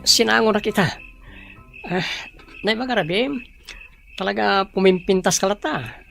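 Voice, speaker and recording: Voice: mid-range voice, moderate pace, vocal fry, a bit bright, faint music behind. An actor playing an ardent young male suitor, eager and apologetic, in a radio drama with an Ilocano Filipino accent. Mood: eager, courting. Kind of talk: Philippine radio drama acting.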